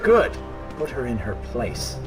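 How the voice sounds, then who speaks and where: An actor voicing a selfish, sardonic dark inner voice that taunts and goads, in a video game. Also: male voice, mid-range voice, wildly swooping pitch, measured pace. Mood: gloating. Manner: mocking, taunting, goading. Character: sardonic dark inner voice, selfish sneering male alter ego. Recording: loud music behind the voice.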